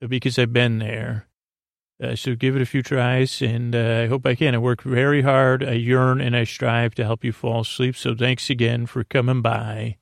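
Rambling gravelly-voiced man, dry close sound. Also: medium pitch, no room sound. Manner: meandering, drowsy, full of hesitations and restarts. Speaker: podcast host. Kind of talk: rambling bedtime podcast intro.

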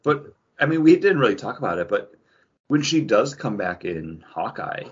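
A man's voice: mid-range voice, very animated, moderate pace, breathy edge, lo-fi sound. A man co-hosting a podcast, discussing films in a casual, conversational and somewhat hedging way. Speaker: podcast co-host.

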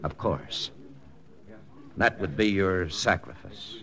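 An actor playing a confident American army intelligence lieutenant in a 1940s radio drama, brisk, persuasive and matter-of-fact. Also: male voice, sits low, gravelly, sharp tone, heavily acted. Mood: persuasive, firm. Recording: old radio broadcast with music.

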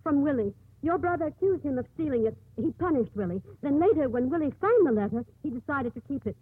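An actress playing an earnest, devout nun in a 1950s radio drama, with a husky voice. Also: female voice, high voice, animated, quick pace. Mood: urgent. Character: earnest, devout young nun, stubbornly convinced and pleading. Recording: muffled old 1950s radio broadcast.

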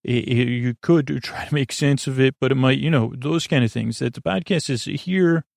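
Man, gravelly creaky voice, medium pitch, rambling bedtime podcast host, halting stammered restarts, wandering tangents. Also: darkish tone, no room sound, clean studio sound.

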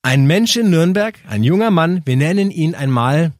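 Ein Mann mit bairischem Akzent, Co-Moderator eines Podcasts, der verspielt und witzelnd eine Geschichte erzählt. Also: male voice, a little high, expressive, normal speed, a little mellow, no echo, clean studio sound. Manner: playful storyteller, jokey asides. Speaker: podcast co-host. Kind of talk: casual chat, telling a story.

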